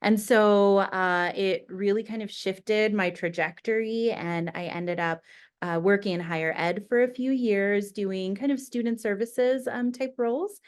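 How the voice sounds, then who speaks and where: A woman's voice: mid-range voice, clean tone. A woman in her thirties from Bangladesh, a school inclusion director, introducing herself earnestly as a podcast guest with many fillers. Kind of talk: casual self-introduction.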